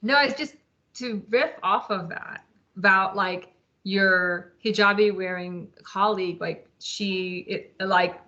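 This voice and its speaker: A woman with a French accent, a teacher, talking in a quick, animated, chatty way. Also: medium pitch. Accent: French-speaking. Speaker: teacher and webinar participant. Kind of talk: casual discussion. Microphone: video call. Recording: muffled lo-fi video call audio.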